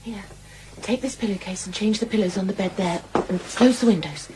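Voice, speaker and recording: An actress playing a chatty, worldly-wise working-class housemaid in a radio drama, blunt and bossy in a matter-of-fact way. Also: female voice, medium pitch, brisk, very noisy, natural voice.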